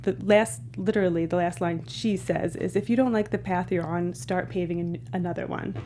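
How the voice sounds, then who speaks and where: A woman's voice: fairly low pitch, fairly even intonation, brisk, warm tone, a little hiss or hum. A woman hosting an interview, asking casual questions and giving short replies and easy laughs. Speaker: interview host.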